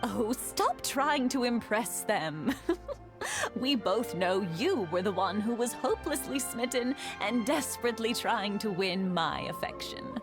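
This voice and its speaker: An actress playing a warm elderly wife in a video game, gently teasing and motherly. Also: fairly high pitch, lively intonation, a little slow. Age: older. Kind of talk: game voice acting. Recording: game dialogue with music behind.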